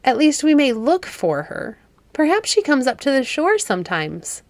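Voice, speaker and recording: Curious storybook child, played by a female narrator: raised pitch, wondering, polite, eager. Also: wildly swooping pitch, normal speed, dry recording, exaggerated voice. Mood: wondering, hopeful.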